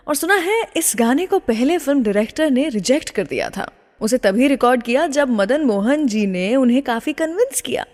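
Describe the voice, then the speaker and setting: A woman presenting a scripted radio show as its host, speaking in a measured, presenterly way. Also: fairly high pitch, darkish tone. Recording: long reverb, music behind. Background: background music.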